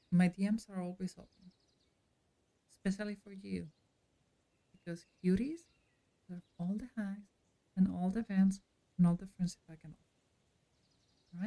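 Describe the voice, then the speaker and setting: A woman streamer talks to her chat in a friendly, relaxed way. Her voice is dark and mellow. She speaks slowly and takes her time. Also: fairly low pitch. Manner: friendly and unhurried, chatting directly to chat. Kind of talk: casual chat with stream viewers while playing.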